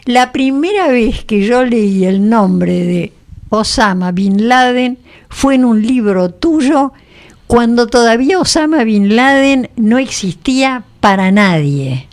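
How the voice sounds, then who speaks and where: A woman's voice: fairly high pitch, expressive, slow pace, darkish tone. An older woman from Argentina with a Buenos Aires accent, hosting a casual radio conversation and teasing her guest. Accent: Buenos Aires Spanish. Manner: teasing, talks over guest. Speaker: radio host.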